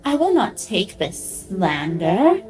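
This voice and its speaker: A woman's voice: medium pitch, big pitch swings, slow pace. A woman playing a proud, self-absorbed artificial intelligence ballerina in a radio play, with a haughty manner. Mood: affronted. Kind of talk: theatrical radio play acting.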